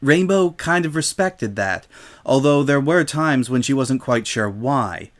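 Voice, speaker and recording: A man's voice: mid-range voice, animated, unhurried. A man serves as narrator in a dramatic reading of a comic story. He delivers scripted prose between the characters' lines in a storytelling style.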